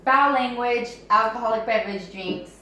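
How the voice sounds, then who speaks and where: A woman's voice: a little high, normal speed, sharp tone, muffled recording. A woman co-hosting a casual book club video at home, playful and chatty in sibling banter. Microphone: camera mic at a distance. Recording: echoey room, distant mic, some noise.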